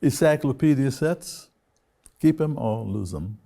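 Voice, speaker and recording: A man hosting an interview, putting questions in deliberate, measured phrases with pauses between them. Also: mid-range voice, lively intonation, gravelly, mellow. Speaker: television interview host.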